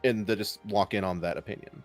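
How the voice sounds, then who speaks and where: A man's voice: fairly low pitch, a little fast, faint music behind. A man from Kentucky with a Kentucky accent, chatting casually and animatedly on a panel. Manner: animated, mocks internet opinions in quotes. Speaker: podcast panelist. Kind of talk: casual group chat.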